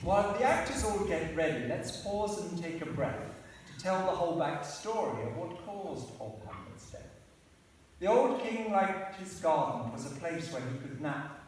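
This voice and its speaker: A flustered courtier turned rhyming storyteller, as a man plays him, in a fairly high voice. He is heard from well back in a hall that rings with long reverb. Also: lively intonation, moderate pace, slight background noise, natural voice. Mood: storytelling. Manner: flustered, then rhyming narration. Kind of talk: amateur comic radio play acting. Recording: echoey hall, distant mic.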